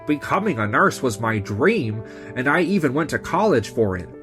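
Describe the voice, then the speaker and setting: Man playing a stammering, flustered young male nurse, wildly expressive. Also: mid-range voice, moderate pace, music in the background, natural voice. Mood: dejected, apologetic. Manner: stammering, anxious. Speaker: voice actor in a manga dub. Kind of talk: animated romantic-comedy dub acting.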